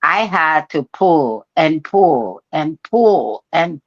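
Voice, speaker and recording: A woman's voice: sits low, sing-song, extremely slow, dull audio, heavily acted. A woman voicing a brash valley-girl Mexican woman who cusses, in a put-on Mexican accent and a drawling sing-song way. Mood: mocking. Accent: Mexican-accented English, put on.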